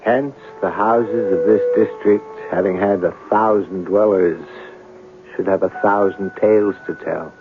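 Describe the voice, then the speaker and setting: An actor playing a probing, eloquent newspaper reporter with theatrical, deliberate phrasing in an old-time radio drama. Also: male voice, low-pitched, steady tone, a little hiss or hum. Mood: musing. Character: probing, eloquent newspaper reporter in turn-of-century New York. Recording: narrowband old radio broadcast, music behind.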